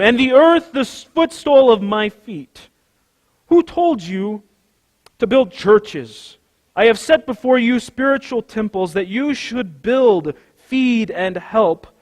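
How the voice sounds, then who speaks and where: A male pastor with a high-pitched voice reads a devotional text solemnly in a church. His delivery is slow and declamatory, each phrase carefully set apart. The sound carries a long hall reverb. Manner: deliberate, declamatory, measured phrasing. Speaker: pastor reading at a church service. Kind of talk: solemn scripted devotional reading. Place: large church during a service.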